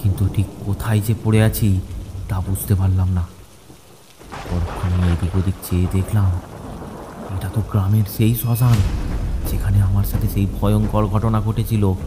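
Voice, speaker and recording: A man's voice: fairly low pitch, little pitch movement, moderate pace, long pauses, background noise. A man narrating a scripted first-person horror story in a dramatic, suspenseful way. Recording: loud music and rain behind. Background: music and rain sound effects.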